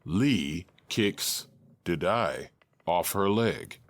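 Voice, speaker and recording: Deep, slow male narrator. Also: animated, dry recording. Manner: detached, matter-of-fact. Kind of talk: scripted narration of stage directions.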